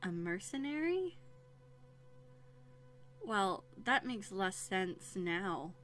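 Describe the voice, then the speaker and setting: A woman's voice: mid-range voice, big pitch swings, drawn-out words, a bit bright, faint music behind, heavily acted. A woman playing a haughty, wary young runaway princess in a scripted audio roleplay, speaking in prim, formal phrases with dramatic hesitations. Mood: skeptical, challenging. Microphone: close USB studio microphone.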